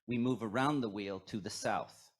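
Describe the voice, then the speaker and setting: A man leading a scripted, poetic guided meditation at a worship service, with measured, reflective phrasing. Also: fairly low pitch. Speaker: worship leader at a fellowship.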